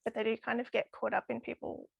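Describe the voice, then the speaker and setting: A woman's voice: on the high side, level tone, quick pace, creaky, slightly rough, no room sound, dull audio. A woman losing her voice, talking enthusiastically about crystal healing as a podcast guest. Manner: enthusiastic, chatty with frequent laughs. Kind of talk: casual podcast interview.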